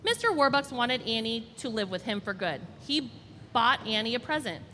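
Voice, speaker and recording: A woman storyteller reading a children's storybook aloud in an expressive, deliberate way. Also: a little high. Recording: reverberant hall, faint background noise.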